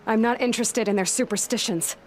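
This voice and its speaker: A woman's voice: fairly high pitch, a little fast, slight background noise, natural voice. An actress voicing a determined young woman hunter who asks direct, probing questions, in a video game.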